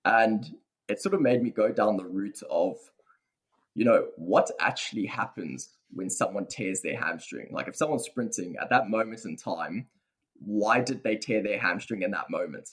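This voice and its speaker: A man's voice: lowish voice, lively intonation, moderate pace, husky. A young man with a South African accent, a researcher answering podcast interview questions in a thoughtful, hedging way. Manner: thoughtful, hedging with many fillers. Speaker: guest researcher.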